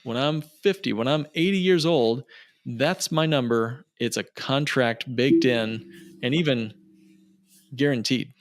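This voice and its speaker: A man's voice: mid-range voice, measured pace, no room sound, clean studio sound. Emphatic man explaining on a podcast. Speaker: financial planner, podcast guest. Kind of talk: conversational explaining on an interview podcast.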